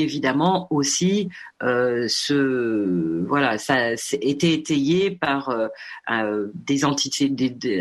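Hesitant woman full of restarts. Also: a bass voice. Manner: halting, many fillers and restarts. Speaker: documentary filmmaker interviewed. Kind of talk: radio interview answer.

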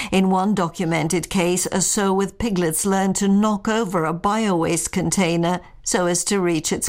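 A woman reporter reading a scripted radio news piece in a steady, clear way. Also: on the low side.

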